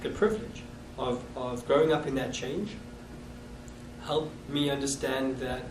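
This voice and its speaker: A man in his thirties with a South African accent, recalling his past with halting restarts in an oral history interview. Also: mid-range voice. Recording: echoey room, distant mic, noisy.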